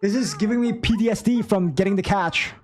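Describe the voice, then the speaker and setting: A woman with a husky voice giving casual live commentary while she plays a game. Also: mid-range voice, fairly quick, a little mellow, faint music behind. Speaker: game streamer.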